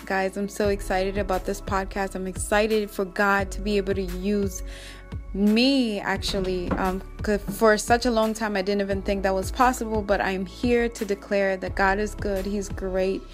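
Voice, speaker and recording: A young Kenyan woman hosts a faith podcast with a Kenyan accent. She talks in an upbeat, encouraging way at an everyday pitch. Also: fairly even intonation, moderate pace, over music. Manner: upbeat, encouraging, praise-filled. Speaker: podcast host. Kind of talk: faith-based podcast chat.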